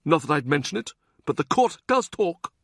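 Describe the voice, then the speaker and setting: A man's voice: a little high, very animated, normal speed, gravelly, no echo, clean studio sound, voice pushed up, exaggerated voice. A man voicing a domineering, scornful older cardinal who mocks and bullies as he speaks. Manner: mocking, bullying, theatrical.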